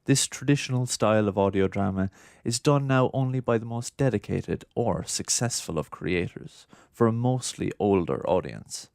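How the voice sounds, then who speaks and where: A man's voice: fairly low pitch, normal speed, gravelly, hardly any pauses, a little mellow, clean tone, no echo, studio quality. A man reading scripted narration for a documentary.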